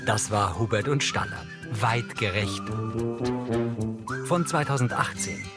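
A man's voice: lowish voice, slow pace, over loud music. A man reading scripted audio description narration for a TV drama.

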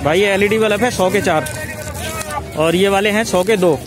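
A man's voice: sits high, moderate pace. A man filming a walking tour of an outdoor street market on his phone, asking vendors for prices and repeating them to the camera. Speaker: market vlogger. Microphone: handheld phone camera. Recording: loud crowd behind. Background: crowd chatter and traffic.

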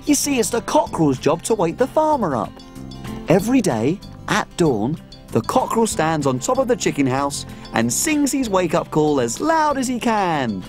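A woman voicing a small cook who tells her farm adventure in lively, expressive storybook narration for children. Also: fairly low pitch, moderate pace, faint music behind. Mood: storytelling.

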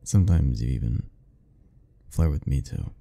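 Deep, gravelly, husky young man. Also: animated, moderate pace, dark tone. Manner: hesitant, tender, musing aloud. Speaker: ASMR roleplay performer. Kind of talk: soft-spoken ASMR roleplay.

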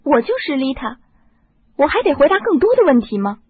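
A woman's voice: high voice, big pitch swings, brisk, dry recording. An actress playing a rattled, weary young female performer in a radio drama. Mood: agitated, weary. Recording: muffled phone-like audio with noise.

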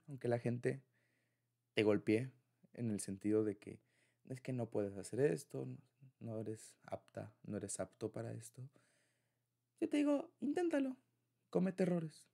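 A man's voice: mid-range voice, very animated, a little slow, creaky, pauses often, dark tone. A man with a Mexican accent speaking in an informal, affectionate, rambling way, as in a personal ASMR boyfriend roleplay.

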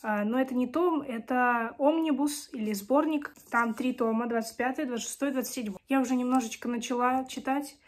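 A woman's voice: on the high side, fairly quick, few pauses. A woman in her twenties chatting casually to camera as a book vlogger, her voice strained by a cold and sore throat. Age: 20s. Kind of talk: casual chat to camera about books. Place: small home room with bookshelves.

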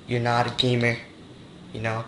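A young man from Canada making a casual, deadpan prank call over the internet. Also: mid-range voice, moderate pace. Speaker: prank caller. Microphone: computer voice call. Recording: internet call with faint noise.

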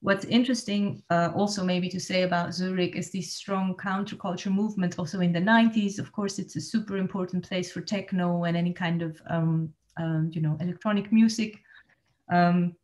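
A woman's voice: on the low side, fairly even intonation, normal speed, darkish tone. Hesitant woman on a video call. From Switzerland. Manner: thoughtful, hesitant, many ums and restarts. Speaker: art space director. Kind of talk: online presentation. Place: small room at home. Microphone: laptop webcam on video call.

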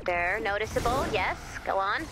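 An actress playing a brisk, wry, easily exasperated woman in command of a base in an animated video game, with dry sardonic delivery. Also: mid-range voice, noisy background, lightly acted. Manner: dry, sardonic, hesitant when flustered.

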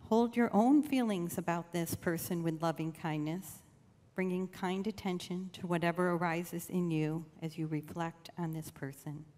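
A woman leading a guided meditation in a slow, gentle, soothing way with long pauses. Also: fairly low pitch, steady tone. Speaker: church meditation leader. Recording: long reverb of a large room.

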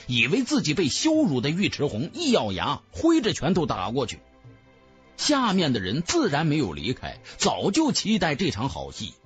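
A man's voice: on the high side, wildly swooping pitch, normal speed. A man narrating a fantasy novel as a dramatic scripted audiobook. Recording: music bed under the voice.

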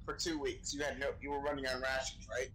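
A man's voice: mid-range voice, little pitch movement, quick pace, pushed and bright, a little hiss or hum, low-quality recording. A man chatting casually in character during an online role-playing game, swearing now and then, on a voice chat mic. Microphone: game voice chat microphone.

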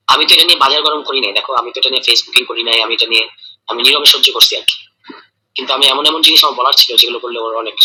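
A man with a Bangladeshi accent in a private, casual phone call, speaking in a hesitant, halting and defensive way on a tinny recording. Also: mid-range voice, sharp tone, husky. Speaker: caller in a private phone conversation.

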